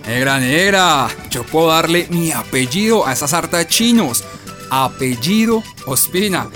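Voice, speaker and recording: A man's voice: fairly high pitch, expressive, a little slow, fairly bright tone. An actor playing a grumbling yet proudly generous Colombian working man and husband in a radio drama, speaking colloquially. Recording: faint music behind the voice.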